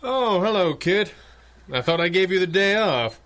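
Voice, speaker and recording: A man's voice: high voice, lively intonation, normal speed, a bit bright. A man playing a wealthy, unflappable masked crime-fighter and boss, urbane, dry and formally worded, in old-time radio adventure style. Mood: distracted, patient.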